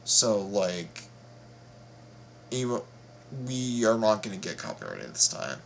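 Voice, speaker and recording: A man hosting a casual reaction video, talking in a halting, playful way and often repeating his words. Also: lowish voice, sing-song, creaky, slight background noise.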